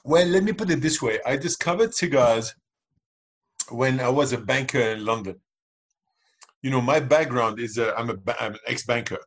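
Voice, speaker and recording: A man's voice: medium pitch, moderate pace. A middle-aged man from Lebanon, a podcast guest explaining his views earnestly in conversational answers. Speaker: podcast guest, cigar entrepreneur.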